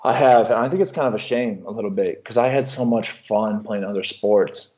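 A young man from Illinois talking casually in an interview, relaxed and rambling, often restarting his sentences. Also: fairly low pitch, a little fast, highs cut off. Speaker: professional basketball player.

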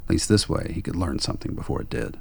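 An extremely deep-voiced man narrates a radio play. His voice is gravelly and husky, and he tells the story in a measured, dramatic way. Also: lively intonation.

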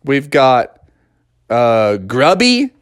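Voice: medium pitch, big pitch swings, very slow, clean tone, dry recording, clean studio sound. A storyteller voicing a proud, friendly papa bear in a raised, chummy voice.

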